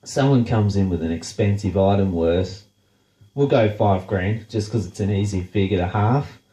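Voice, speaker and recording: Australian man, stuffy nasal voice, mellow and low, echoey room. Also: moderate pace. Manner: blunt, sarcastic, swears freely. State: congested, nasal from a blocked nose. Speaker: show host. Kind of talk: casual profane rant.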